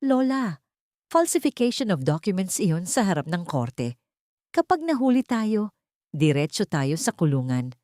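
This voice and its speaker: A woman's voice: lowish voice, big pitch swings, unhurried, mellow, no room sound, studio quality. A woman voicing a weary, anxious man who is losing hope, in an audio drama. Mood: anxious, hopeless. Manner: anxious, pleading.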